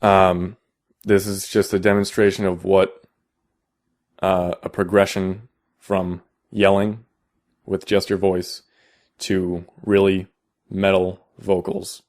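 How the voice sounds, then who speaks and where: Young guy, really deep low voice, lots of ums, casual how-to talk. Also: flat delivery, a little mellow. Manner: halting, many ums and restarts. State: out of vocal shape. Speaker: vocal tutorial maker.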